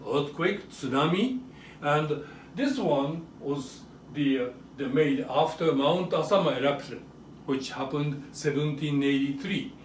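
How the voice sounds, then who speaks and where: A man with a Japanese accent giving an educational demonstration in short, simple phrases, often checking in with a quick question. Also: on the high side. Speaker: author and presenter. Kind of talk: educational show-and-tell for children and families. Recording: roomy echo, distant mic, faint noise.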